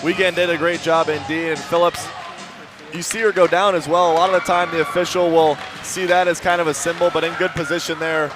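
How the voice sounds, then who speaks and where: A man's voice: a little high, level tone, fairly quick, a crowd in the background. A man working as a color commentator, giving analysis during a live ice hockey broadcast. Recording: noisy arena behind the voice. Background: arena noise.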